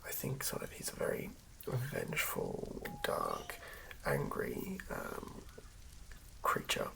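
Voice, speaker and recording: A person whispering softly in an ASMR style, narrating a tabletop character build in a breathy, creaky hush. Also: a bass voice, wildly swooping pitch, takes it slowly, low-quality recording. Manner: hushed whispering. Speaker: ASMR creator.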